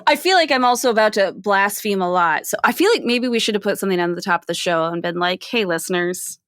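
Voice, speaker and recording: A woman's voice: mid-range voice, expressive, quick pace, dry recording, studio quality. A woman co-hosting a podcast, talking casually about film in an explanatory way, often checking in with "right?". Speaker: podcast co-host.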